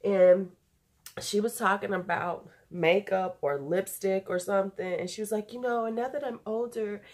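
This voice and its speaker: A woman's voice: mid-range voice, moderate pace, a little mellow. A woman in her forties with a stuffy, nasal voice, losing her voice, chatting loosely and drifting off topic in an unedited beauty vlog from home. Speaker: beauty YouTuber.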